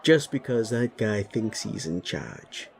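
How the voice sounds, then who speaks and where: A man voicing a brash werewolf bully boss in an audio roleplay, gravelly, swaggering and blunt, spoiling for a fight. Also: fairly low pitch, big pitch swings, slow pace, some chatter behind, full character voice. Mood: defiant.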